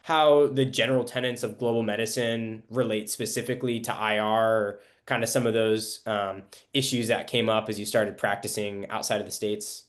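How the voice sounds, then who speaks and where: A man's voice: fairly low pitch, level tone, normal speed, few pauses. A young man interviewing a guest on a podcast in a conversational style, speaking as the host.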